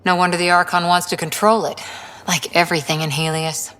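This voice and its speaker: A female commando played by an actress with a slightly low voice. The character is confident and earnest, speaking in a composed, reflective way in video game dialogue. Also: flat delivery, fairly quick, a bit bright, close to an everyday voice.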